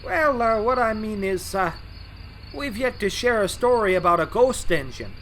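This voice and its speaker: A man's voice: high-pitched, animated, normal speed, a bit bright, slight background noise. A man playing a timid, polite talking engine who hesitates and stammers, in an audio drama. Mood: tentative. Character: nervous, timid, polite young male engine. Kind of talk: audio drama character acting. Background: music, night insects.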